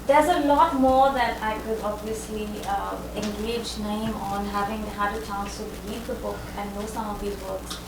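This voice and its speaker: A woman acting as discussant, moderating the Q&A and inviting questions from the audience with relaxed fillers. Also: mid-range voice, moderate pace. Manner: relaxed, filler-heavy transitions. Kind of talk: moderating a talk. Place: lecture room. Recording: echoey room, distant mic, loud noise.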